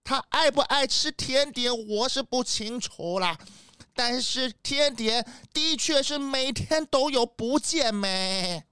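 A woman's voice: high voice, lively intonation, takes it slowly, a bit bright, smooth, no room sound, studio quality, exaggerated voice. A woman voicing a timid, flustered old male goat who stammers and bleats at the ends of phrases, in Taiwanese Mandarin, for a children's audio drama. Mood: anxious, earnest.

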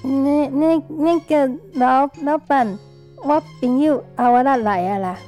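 A woman's voice: high-pitched, warm tone, soft background music. A woman with a Taiwanese accent playing an embarrassed, pleading young female guest, hesitant and cutesy, in a comedic audio drama. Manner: hesitant, halting.

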